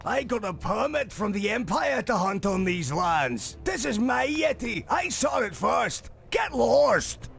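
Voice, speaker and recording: A gravelly, belligerent hunter. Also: male voice, extremely high pitch, big pitch swings, a little slow, hardly any pauses, fairly bright tone, soft background music, heavily acted. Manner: belligerent, possessive. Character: gruff territorial male hunter.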